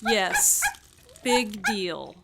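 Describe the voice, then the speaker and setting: An actress playing a nagging, lonely housewife in a radio play, with a plaintive, chiding manner. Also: female voice, mid-range voice, expressive, extremely slow, gravelly, very noisy, heavily acted. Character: nagging, lonely housewife who dotes on her dog. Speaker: actress in an audio drama.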